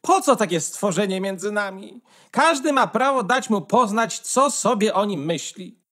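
A woman's voice: mid-range voice, animated, moderate pace, a bit bright. A woman playing a haughty, disdainful old duck, a condescending grand lady in a fairy-tale radio play. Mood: scornful. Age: older. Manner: condescending, drawling.

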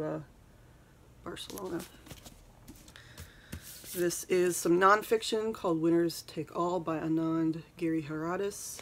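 A soft-spoken woman in her thirties chatting casually while doing her makeup, rambling and saying "um" a lot, recorded on a phone in a small bedroom. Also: sits low, vocal fry, slight background noise, low-quality recording. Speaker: beauty vlogger. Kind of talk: casual get-ready-with-me chat. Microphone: phone camera at arm's length.

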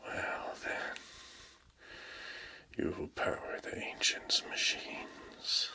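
A man playing a cold, smug chancellor villain in a whispered ASMR role play, talking softly with menacing calm. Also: drawn-out words, exaggerated voice. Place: dark room, masked in costume facing camera.

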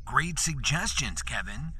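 A man's voice: mid-range voice, very animated, measured pace, pushed and bright, husky, pitched above the performer's own voice, full character voice. A man doing a smug, menacing improv performer. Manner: smug, then creepy and menacing. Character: pushy male improv actor turning menacing Krampus.

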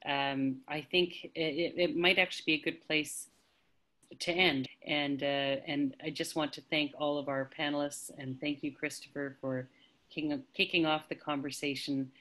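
A woman speaking thoughtfully on an online panel discussion over a video call, pausing often with fillers. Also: low-pitched, level tone, fairly bright tone. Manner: thoughtful, hesitant with many fillers. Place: home indoors.

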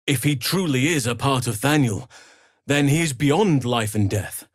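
An actor playing a big, calm, earnest male elf druid in a fantasy video game, speaking in a measured, gentle, solemn way. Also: mid-range voice, no room sound, clean studio sound, close to an everyday voice. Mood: firm.

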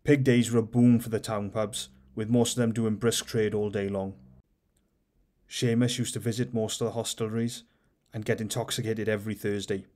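A man reads a book aloud on his own channel, his voice sitting a little low. He keeps a steady, measured storytelling pace from sentence to sentence. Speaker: audiobook reader and channel host.

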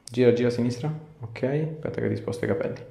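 A man's voice: mid-range voice, fast talker, creaky, dark tone. A man, a chiropractor giving instructions and asking a patient questions. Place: small bare treatment room, indoors. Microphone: clip-on lapel mic. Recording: echoey small room.

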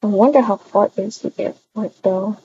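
A woman improvising roleplay dialogue for a video game in a hesitant, halting way with many hums. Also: medium pitch, warm tone. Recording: dull muffled audio, faint noise.

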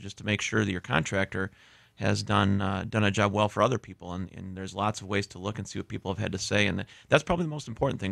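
A man in his thirties explains things plainly on a radio show, speaking into a studio mic. His voice is low and heavily gravelly, and he talks fast with some restarts. The sound is dry and close. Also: a bit bright, no room sound. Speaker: guest heating and cooling contractor. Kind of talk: radio interview answers. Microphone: studio mic with headphones.

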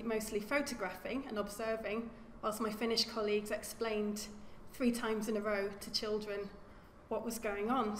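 A woman archaeologist giving an academic lecture at a lectern, speaking in a measured way and often repeating words as she corrects herself. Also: a little high. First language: English. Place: lecture room. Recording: echoey lecture hall with faint hum.

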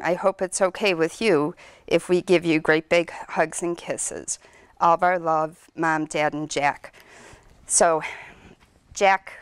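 A middle-aged woman with a low, smooth voice shares a heartfelt testimony before her congregation. She talks earnestly into a handheld microphone in a small church, and the sound stays close and dry. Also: no echo. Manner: earnest, emotional, speaks from a lectern. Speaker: church member sharing testimony.

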